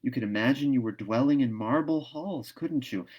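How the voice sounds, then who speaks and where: A man's voice: mid-range voice, normal speed, a little mellow, pitched above the performer's own voice, close to an everyday voice. A man voicing a talkative, imaginative eleven-year-old orphan girl in a storybook, earnest and eager. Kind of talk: storybook read-aloud.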